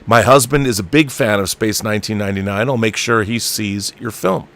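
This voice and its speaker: A man's voice: lowish voice, brisk, fairly bright tone. Man, talk show host, opinionated, sardonic, emphatic, casual fan chat, mimics others. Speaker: talk show host reading viewer messages.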